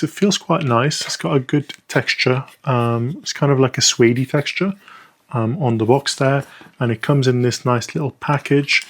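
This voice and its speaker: A man talks through a set of perfume samples in an easygoing, unscripted way. His voice sits in an ordinary middle pitch. He fills pauses with ums and thinks out loud as he goes. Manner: chatty, frequent ums, thinking aloud. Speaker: perfume reviewer. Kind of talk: casual first-impressions review.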